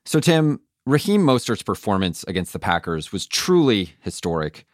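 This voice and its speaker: A man's voice: on the low side, sing-song, moderate pace, no room sound, studio quality. Polished male podcast presenter. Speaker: podcast host. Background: music bed.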